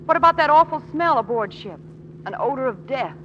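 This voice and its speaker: Quick, wry female companion, expressive, insistent, old radio acting with faint noise. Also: sits high. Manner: wry, pressing. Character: sharp, insistent woman companion, dryly witty. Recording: dull, noisy old-time radio recording.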